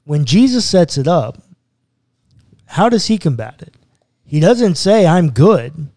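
A man in his thirties, a pastor, earnestly explaining a Bible passage step by step in a podcast conversation, close to a boom mic in a small studio. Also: big pitch swings, slow, warm tone, no echo. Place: small studio room with bookshelves.

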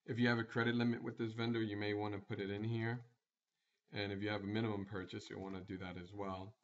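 A man's voice: lowish voice, flat delivery, fast. Guy doing a plain step by step screen tutorial, kinda dull lo-fi mic. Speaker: software tutorial presenter. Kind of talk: screencast software walkthrough.

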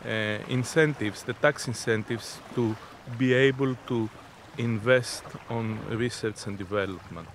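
A man in his fifties answering interview questions in a halting, deliberate, unscripted way. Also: medium pitch. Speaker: interviewee, innovation zone representative. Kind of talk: spoken interview, unscripted explanation. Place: outdoors on a sea beach. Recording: outdoor seaside background noise.

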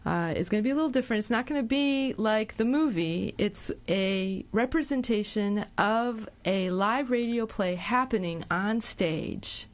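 An American woman hosts a radio interview in a studio. Her voice sits at a middle pitch. She talks conversationally, often rephrasing or echoing what was said. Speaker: radio show host. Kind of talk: casual live radio interview. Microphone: studio broadcast microphone.

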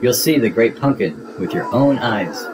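A man voicing an earnest, excitable, hopeful little boy in a children's storybook, with a pleading manner. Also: lowish voice, normal speed, noisy background, close to an everyday voice. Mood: reassuring.

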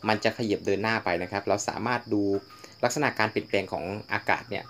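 A man in his thirties explaining things to camera in a polite, steady way, sitting outdoors on a tractor in farmland. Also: fairly low pitch, fast, a little hiss or hum. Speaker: vlogger explaining a weather app. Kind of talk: casual instructional talk to camera.

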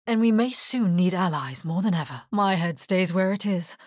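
An actress playing a grave, resolute necromancer in a dark fantasy video game, speaking scripted lines in a measured, husky voice. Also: female voice, fairly low pitch, expressive, no echo, studio quality.

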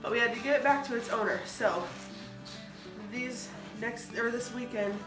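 American woman, 30s, casual renovation vlogger; slightly high voice, roomy phone recording. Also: moderate pace, music in the background. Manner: chatty, explains to camera while working. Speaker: vlog host. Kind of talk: casual home renovation vlog. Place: unfinished laundry room in a farmhouse. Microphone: phone camera held at a distance. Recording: echoey room, distant phone mic.

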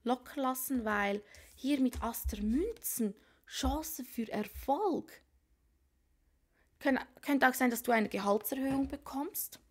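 A woman's voice: high voice, slow pace. A woman with a cold doing a casual tarot reading for viewers, musing aloud and often dropping to whispered asides. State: has a cold. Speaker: tarot reader. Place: small room at a card table.

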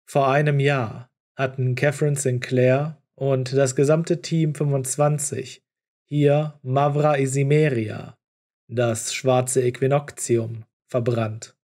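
A man's voice: medium pitch, level tone, slow pace, a little mellow. A man reading scripted story narration as an audiobook narrator.

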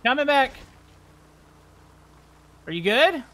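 A man talks casually with his squad during a multiplayer game. His voice is high and bright, with plenty of movement in the pitch. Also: sing-song, slow. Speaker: gamer in a multiplayer roleplay group. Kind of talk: casual gameplay chat.

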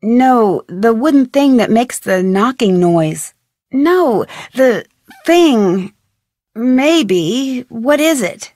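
A young female sleuth from a mystery video game. Her voice is fairly high and recorded dry and close. She speaks in a friendly, polite and inquisitive way, with clearly articulated scripted lines. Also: lively intonation, drawn-out words, darkish tone, no echo. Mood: curious.

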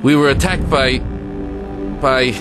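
A man's voice: medium pitch, takes it slowly, loud music behind the voice, close to an everyday voice. A man playing an earnest, rattled army lieutenant in an audio drama, speaking with urgent military formality.

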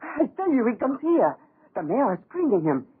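An actor playing an excitable, flustered man bringing news, stammering and repeating himself in 1930s radio acting style. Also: very high-pitched, normal speed, slightly rough, heavily acted. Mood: excited, flustered. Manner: stammering, repetitive, agitated. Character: excitable, flustered male messenger bursting with news. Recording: tinny 1930s radio recording.

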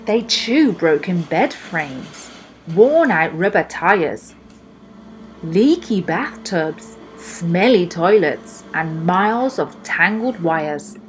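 A young woman reading a children's storybook aloud in an expressive style, with deliberate, emphatic phrasing. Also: fairly low pitch, slight background noise, rough recording. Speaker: children's book reader.

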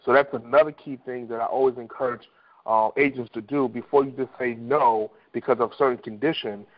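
A man with a mid-pitched voice speaks as a team leader on a conference call. He coaches conversationally, often repeating himself, and the telephone line makes him sound dull and lo-fi. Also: fairly even intonation, brisk. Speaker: insurance agent team leader.

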